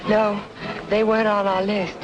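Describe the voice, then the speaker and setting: A woman's voice: mid-range voice, level tone, normal speed, highs cut off, over music, close to an everyday voice. An actress playing a poised, intelligent professional woman, cool and composed, in an old noir drama. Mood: composed, curious.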